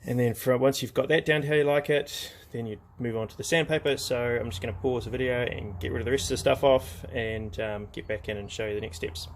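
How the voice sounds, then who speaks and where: A man's voice: medium pitch, fairly even intonation, fast, hardly any pauses, a little hiss or hum. A man giving a casual, hands-on craft demonstration, explaining each step in an informal, laid-back way. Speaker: craft tutorial host.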